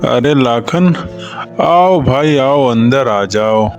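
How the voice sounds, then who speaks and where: A man's voice: medium pitch, lively intonation, very slow. A man voicing a poor village farmer and husband in a moral story cartoon. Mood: friendly. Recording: music under the voice.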